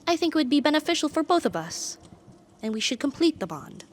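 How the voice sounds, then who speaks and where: A woman voicing a proud, possessive, lovesick female demon in an ASMR roleplay, speaking in formal, deliberate, dramatic phrases. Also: sits high, very animated. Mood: insistent. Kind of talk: ASMR romantic audio roleplay.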